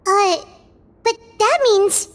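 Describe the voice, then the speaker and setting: Squeaky, excitable little sprite. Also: female voice, slow pace. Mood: eager. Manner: eager, exclamatory. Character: tiny excitable childlike female companion sprite. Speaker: voice actor. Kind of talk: scripted game dialogue. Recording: music behind the voice.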